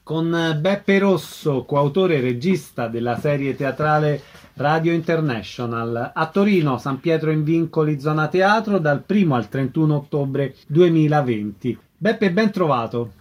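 A man's voice: fairly high pitch, animated, a little slow. A man hosting a radio show, introducing guests and interviewing them.

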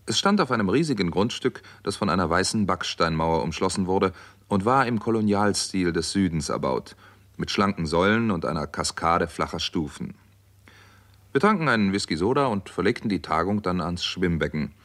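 Reserved, wry doctor-narrator, lowish voice, lively intonation, vintage radio crime play. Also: male voice, normal speed. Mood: dry, composed. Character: wry, reserved male doctor narrating his detective story. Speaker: lead actor and first-person narrator. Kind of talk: 1960s German radio crime drama acting. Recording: 1960s radio recording, faint background noise.

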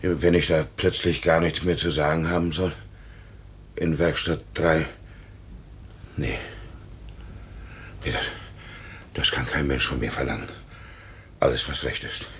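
An actor in a 1950s radio play voicing a broken foreman in his fifties, halting, stammering and repeating himself. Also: a bass voice, steady tone, fairly bright tone, husky, exaggerated voice. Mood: broken, muttering despair. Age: 50s. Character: broken, pleading 58-year-old aircraft works foreman.

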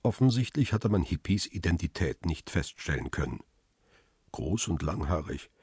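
A man narrating a radio crime drama in the first person, telling the story in a calm, steady, reflective way. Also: low-pitched, normal speed, breathy edge, dry recording, clean studio sound. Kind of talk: scripted radio play narration.

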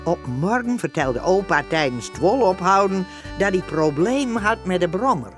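Low, lively woman narrator, faint music behind. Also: moderate pace. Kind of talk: scripted storytelling narration.

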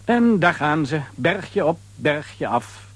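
A man with a husky voice narrating a children's audio adventure as a storyteller. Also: fairly high pitch, sing-song, takes it slowly, no room sound, full character voice.

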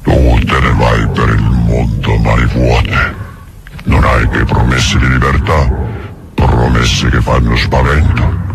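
An actor playing a sly, tempting devil in a radio drama, gravelly and cold, with measured, insinuating delivery. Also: male voice, rumbling low, little pitch movement, heavily acted.

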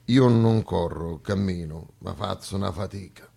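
A man's voice: sits low, unhurried, vocal fry, dark tone, breathy edge, full character voice. A man playing the South personified as a weary, plaintive one-legged underdog, plodding and stubborn in a comic stage dialogue. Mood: weary, hesitant. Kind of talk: theatrical comic monologue dialogue.

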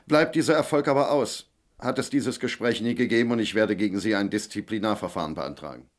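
An actor playing a cool, calculating senior official in a German radio play, speaking in a measured, formal manner. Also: male voice, lowish voice, lightly acted. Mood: guarded.

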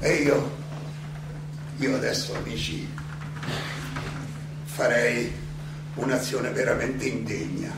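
Defiant philosopher on trial, stage monologue, slow halting declaiming, really echoey hall with noise. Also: male voice, mid-range voice. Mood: earnest, indignant. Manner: declamatory, halting, rhetorical questioning. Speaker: stage actor and director. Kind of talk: theatrical monologue. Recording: echoey hall, distant mic, clearly noisy.

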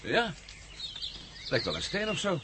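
A man's voice: high voice, huge pitch swings, a little slow, background noise, heavily acted. An actor playing a stubborn, impatient man working his land in a radio drama, brusque and urging in manner. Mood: effortful, focused. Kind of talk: naturalistic radio drama acting.

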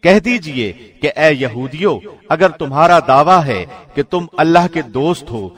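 A man reading a scripture translation like a radio presenter, formal, solemn and measured. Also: on the high side, lively intonation.

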